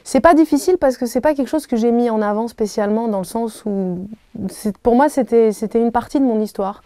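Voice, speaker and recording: A woman in her thirties whose first language is Arabic, answering interview questions thoughtfully, with many pauses and restarts. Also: fairly high pitch, mellow, no room sound. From Algeria. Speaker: singer being interviewed. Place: hotel room.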